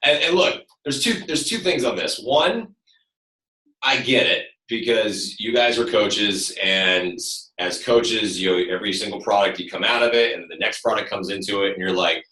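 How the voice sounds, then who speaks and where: Heard from a distance in an echoey room, a man speaks in a booming, fairly low voice. He is a fitness trainer on a call, casual yet direct and emphatic as he explains and motivates. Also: normal speed, pushed and bright. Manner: direct, emphatic, often says "look". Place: echoing room, online call. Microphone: computer, some distance away. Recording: roomy, distant computer microphone.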